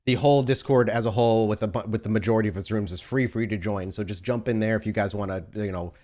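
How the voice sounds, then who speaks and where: A man's voice: on the low side, fast talker, darkish tone. Casual man hosting a podcast. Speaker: game master and podcast host. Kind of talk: casual chat and announcements.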